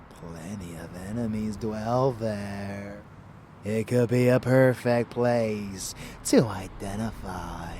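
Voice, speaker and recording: Guy doing a deep-voiced vampire boyfriend, asmr roleplay, super slow, breathy and teasing, kinda menacing. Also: darkish tone, a little hiss or hum, exaggerated voice.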